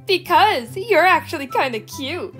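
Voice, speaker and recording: A woman's voice: extremely high pitch, animated, a little slow, fairly bright tone, faint music behind, heavily acted. A woman voicing a flirty, teasing female cartoon hedgehog in a comic dub. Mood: excited. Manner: coy, teasing.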